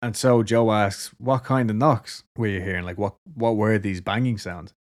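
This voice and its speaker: A man's voice: on the low side, steady tone, normal speed. A man with an Irish accent casually telling a story to a co-host, laughing often and swearing freely. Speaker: podcast co-host.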